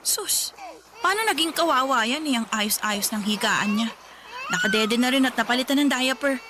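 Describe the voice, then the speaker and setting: A woman's voice: high-pitched, lively intonation, moderate pace, noisy background. A woman playing a sullen, resentful young mother in a Filipino radio drama, whining and talking back defiantly. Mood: irritated. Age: young adult. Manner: whiny, complaining, talking back.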